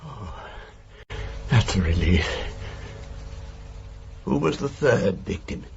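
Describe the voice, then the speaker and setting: Fussy, nervous British gentleman, animated. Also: lowish voice, takes it slowly, creaky, highs cut off, a little hiss or hum, heavily acted. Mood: anxious. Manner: hesitant, stammering, apologetic, anxiously polite. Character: timid, nervous, fussy man with a guilty past. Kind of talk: British radio drama acting.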